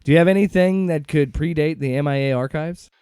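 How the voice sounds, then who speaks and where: A man's voice: on the high side, fairly quick, heavy background noise, lightly acted. A man playing a blunt, exasperated intelligence agency director in conversational audio drama acting. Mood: earnest, weary.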